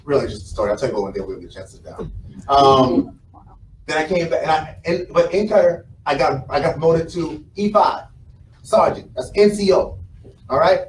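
A man's voice: a little high, animated, fairly quick. American man giving a roomy, distant motivational talk. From South Carolina, United States. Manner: conversational, storytelling, repeats phrases for emphasis. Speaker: motivational speaker. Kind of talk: informal motivational speech to a live audience. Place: indoor conference room with a small stage. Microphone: camera mic from the audience, several meters away. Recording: roomy, distant mic, some background noise.

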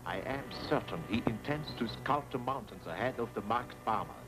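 A formal, commanding villain, played by a man giving orders in a vintage serial. The voice is mid-pitched, with music under the old 1940s film sound. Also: animated, brisk, close to an everyday voice, poor audio. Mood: stern, certain.

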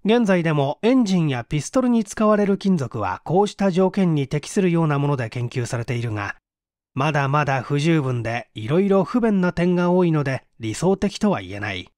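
A man narrating a mystery story as an audiobook reader, in a measured, dramatic storytelling manner. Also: a little high, expressive, darkish tone, no room sound, clean studio sound. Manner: measured storytelling with acted dialogue.

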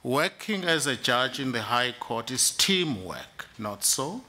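A man's voice: medium pitch, very animated, takes it slowly, pushed and bright. A man on an interview panel, asking direct, pointed questions.

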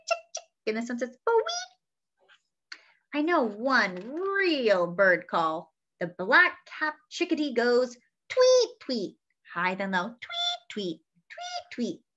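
Young woman, high-pitched, very lively intonation, children's read-aloud, expressive storytelling, slightly dull lo-fi webcam recording. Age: young adult. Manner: animated, sing-song storytelling with expressive character lines. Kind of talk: read-aloud of a picture book with asides to young listeners. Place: small indoor room at home.

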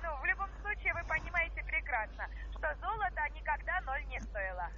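A woman giving an insistent sales pitch over the phone, peppering the listener with questions. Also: big pitch swings, brisk. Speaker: cold-call investment salesperson. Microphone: phone call. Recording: noisy muffled telephone line.

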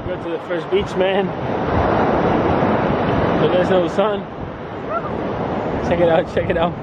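A man's voice: high-pitched, flat delivery, slow pace, muffled recording, very noisy. A young man talking brashly to a handheld camera in a casual fitness vlog, swearing and calling the viewer "man" and "mate". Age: young adult. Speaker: fitness vlogger. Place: car, gym and beach. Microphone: handheld camera at arm's length.

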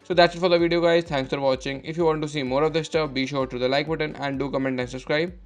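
A young man giving an unscripted product unboxing commentary as a tech vlogger, in a halting way with repeated phrases. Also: a little high, fairly even intonation. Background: background music.

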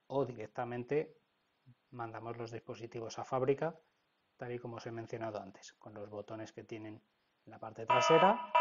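A man with a Spanish accent from Spain walks through a software procedure step by step, often checking in with "¿vale?". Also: medium pitch, muffled recording. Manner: explanatory, frequent "¿vale?" check-ins. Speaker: product trainer. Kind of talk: screen-recorded software tutorial.

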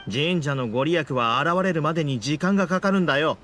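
Man playing a dramatic, highish-voiced struggling writer. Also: a little fast, a bit bright, close to an everyday voice. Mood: pleading, insistent. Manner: theatrical, exasperated, insistent. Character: struggling male writer, dramatic, stubborn, pleading. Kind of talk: Japanese anime game voice acting. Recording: background music under the voice.

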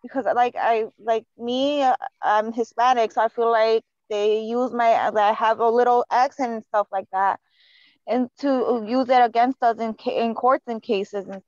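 A woman's voice: a little high, fairly even intonation, moderate pace, darkish tone, dry recording, dull audio. Woman caller with a Chicago accent. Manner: casual, many "like" fillers. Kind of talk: casual call-in talk.

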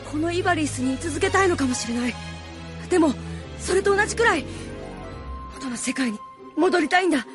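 A woman voicing a gentle, sincere young boy hero in a Japanese audio drama, soft-hearted and earnest. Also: high-pitched.

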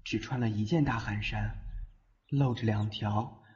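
A man playing a smitten, easily flustered young man in an audio drama, expressive, tender and a little exasperated. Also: on the low side, unhurried, breathy edge. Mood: tender, reflective. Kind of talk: audio drama acting with inner monologue. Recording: muffled lo-fi audio drama over music.

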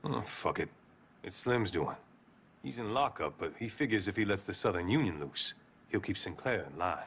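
A weary, devout preacher from a video game drama. His deep voice swings freely in pitch, blunt and solemn by turns. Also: lively intonation, moderate pace, a bit bright, slightly rough, heavily acted. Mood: weary, bitter. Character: weary small-town preacher, plainspoken but devout. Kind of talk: video game cutscene acting.

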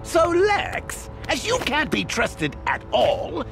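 A man playing a manic, mischievous clown villain in an animated game, theatrical and teasing. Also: extremely high pitch, slow pace, vocal fry, over music, exaggerated voice. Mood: taunting. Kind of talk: animated game cutscene acting.

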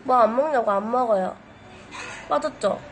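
Late-teen female streamer, high-pitched, slightly sick with a cold, casual and cutesy, clearly noisy background. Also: normal speed. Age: teens, says eighteen. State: has a cold. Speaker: livestream host. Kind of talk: casual livestream chat with viewers. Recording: noisy livestream microphone.

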